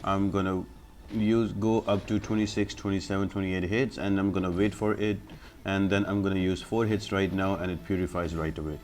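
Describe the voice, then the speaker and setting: Low-voiced man giving a game walkthrough. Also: level tone, brisk, a little mellow, a little hiss or hum. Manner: instructional, step-by-step. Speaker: gaming guide host. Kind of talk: explanatory gameplay commentary.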